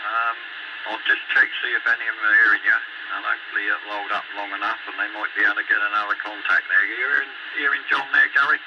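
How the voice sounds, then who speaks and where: A man's voice: on the low side, fairly even intonation, fast. A man making casual radio contact as an amateur operator, talking in radio jargon. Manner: radio jargon, spelling out with phonetic alphabet. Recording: dull lo-fi radio recording, loud static.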